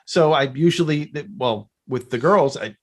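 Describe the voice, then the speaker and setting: A middle-aged man chatting casually as a podcast guest, telling rambling, animated stories. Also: on the high side, unhurried. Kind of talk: casual interview chat.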